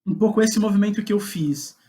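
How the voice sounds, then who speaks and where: A man's voice: sits high, brisk, mellow. Casual Brazilian man recounting his path. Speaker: guest playwright. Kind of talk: casual conversational interview, recounting own career.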